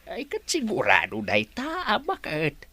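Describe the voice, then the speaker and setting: Radio play: man as excitable villager, wildly swinging pitch. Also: unhurried, heavily acted. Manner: animated, repeats "ah, bakit?".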